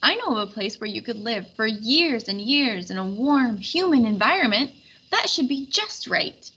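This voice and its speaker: Woman voicing a helpful Wind spirit, bright-toned. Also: on the high side, expressive, normal speed, husky, dull audio, full character voice. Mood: curious, patient.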